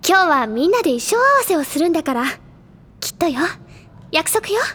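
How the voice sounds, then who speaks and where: An actress playing a spirited, caring teenage schoolgirl with a feminine, expressive, gently insistent manner in a Japanese audio drama. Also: extremely high pitch, brisk. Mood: brisk, insistent.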